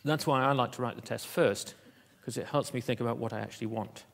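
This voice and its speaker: A man giving a conference keynote in an auditorium, talking in a halting way with many fillers and restarts. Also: medium pitch, gravelly. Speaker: keynote speaker.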